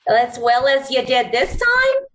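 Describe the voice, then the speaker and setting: Woman playing a high, sugary radio femme fatale. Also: very animated, a bit bright, exaggerated voice. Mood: coaxing, sweet. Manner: cooing, drawn-out, sugary. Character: gushing, coaxing, flirtatious female crook's sweetheart. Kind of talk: old-time radio drama acting.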